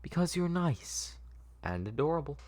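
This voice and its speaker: A man's voice: a little high, very animated, moderate pace, a little mellow, voice pushed up. A man voicing an eerie but kindly doctor who sells ice cream, courteous and coaxing, in an amateur drama read. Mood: soothing.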